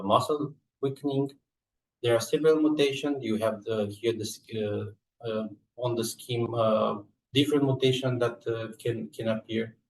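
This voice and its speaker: Halting Romanian-accented man, echoey and distant. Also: medium pitch. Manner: halting, hesitant delivery with frequent filled pauses. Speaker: research scientist and lab coordinator presenting. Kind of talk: scientific slide presentation. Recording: echoey room, distant microphone.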